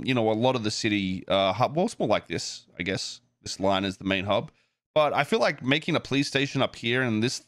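A man with a mid-pitched voice chats loosely on a game stream, recorded dry and close. He thinks aloud in a casual, rambling way and swears freely as he goes. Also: fairly bright tone, dry recording. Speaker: game server developer and streamer.

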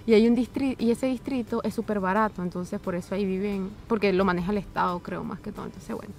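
A woman's voice: medium pitch, fast, vocal fry, soft background music. A woman in her twenties, a university student, chatting casually and expressively on a bench outdoors. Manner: chatty, expressive, gestures while talking. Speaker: vlogger, university student in Austria. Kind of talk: casual chat. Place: outdoor garden bench by a building.

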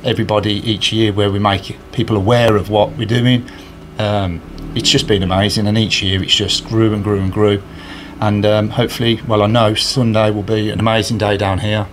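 A man in his thirties talks in a somewhat low voice, earnest and halting, full of fillers and restarts. He is recorded indoors on a handheld recorder held close, with faint ambient noise behind him. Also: little pitch movement. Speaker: charity match organiser. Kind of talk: casual interview answers. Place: indoors in front of a sponsor board. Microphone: handheld recorder held by interviewer.

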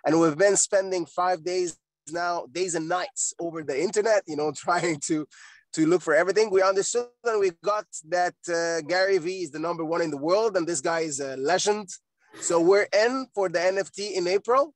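Excited guy from egypt on a call from his car, slightly high voice, dry sound. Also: no echo. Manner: eager and excited, a bit rushed. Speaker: caller asking a question. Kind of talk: casual question on a video call. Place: in a car while driving.

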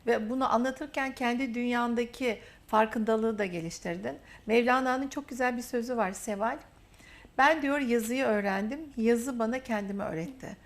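A woman hosting a TV interview, affirming her guest warmly and drawing out her phrases. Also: a little high. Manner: warm, affirming, drawn-out phrasing. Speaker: TV interview host.

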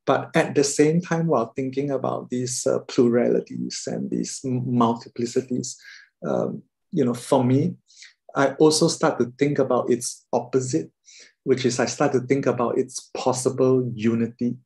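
A Singaporean man, an artist, talking thoughtfully with many pauses and fillers on a video call. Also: medium pitch, steady tone, vocal fry, darkish tone. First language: Mandarin.

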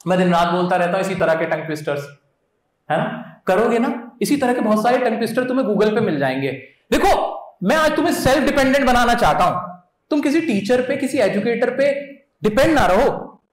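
A young man from India teaching English at a live seminar, speaking on stage into a handheld mic and coaxing students with questions. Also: high-pitched. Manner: energetic, coaxing, asks the crowd questions. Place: large auditorium stage. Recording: echoey hall.

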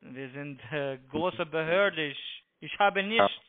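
A man with a foreign accent, a non-native speaker giving instructions on a phone call in halting, repetitive, broken phrases. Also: fairly high pitch. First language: not German. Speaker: phone scam call-centre agent. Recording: tinny, band-limited phone line.

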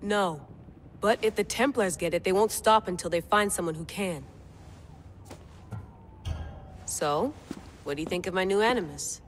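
An actress playing a confident, wry young tech-savvy field agent in a video game. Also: female voice, lowish voice, moderate pace, a little hiss or hum, lightly acted. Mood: intense, sure. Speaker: game character, lead. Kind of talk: video game cutscene acting.

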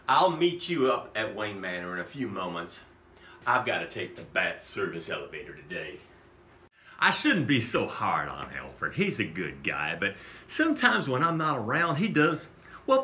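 A man's voice: on the low side, sharp tone, reverberant, far from the mic, a little hiss or hum. A middle-aged man hosting his own vlog from a home office full of props, talking to viewers in a campy, theatrical kids'-show-host way and chuckling often. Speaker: costume maker and vlog host.

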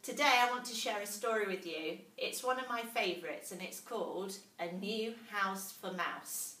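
A woman's voice: mid-range voice, normal speed, pushed and bright. A woman, a teacher reading a storybook expressively to young children. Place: small classroom, indoors. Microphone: camera a few metres away. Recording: roomy classroom, distant camera mic.

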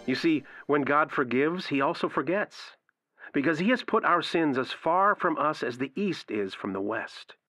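A man playing an earnest radio preacher in an audio drama, quoting scripture emphatically and deliberately. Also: on the high side, expressive, bright, forceful tone, no echo. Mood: earnest, assuring.

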